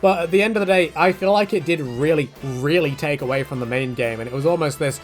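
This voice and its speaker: A man talking through fan questions in a loose, rambling Q&A, his voice sitting a little high for a man. He leaps up in pitch for quick asides and jokes. Soft music plays faintly underneath. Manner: rambling and animated, jumping pitch for quoted asides. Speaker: YouTube creator answering fan questions. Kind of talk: casual Q&A monologue.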